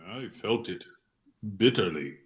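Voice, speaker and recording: A man playing a meek, wistful hanger-on in an amateur play reading, in a plaintive, deliberate manner. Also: on the low side, animated. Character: meek, wistful male hanger-on in rural Russia.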